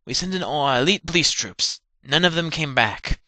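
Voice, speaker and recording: A man's voice: on the high side, expressive, moderate pace, sharp tone, dry recording. A man voicing a hapless, nervous military subordinate giving a briefing in a parody cartoon. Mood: earnest, nervous. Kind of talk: fan-dub parody cartoon acting.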